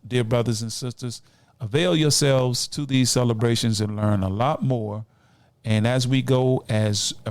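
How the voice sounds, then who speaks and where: A man's voice: medium pitch, darkish tone, dry recording. A man of nearly sixty, hosting a community podcast and addressing listeners in an exhortatory way. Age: late 50s, nearly 60. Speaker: podcast host. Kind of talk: community podcast talk with announcements and shout-outs.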